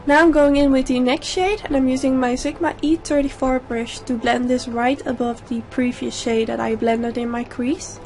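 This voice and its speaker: A young woman with a slightly hoarse voice from a sore throat, calmly explaining each step of a makeup tutorial in a voice-over. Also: high voice, moderate pace, few pauses, soft background music. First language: Dutch. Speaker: makeup YouTuber.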